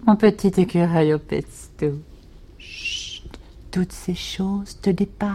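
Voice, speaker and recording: Slow, cajoling, patronising schemer. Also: female voice, fairly low pitch, mellow, slight background noise. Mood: coaxing. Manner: slow, coaxing, condescending. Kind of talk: podcast audio drama acting.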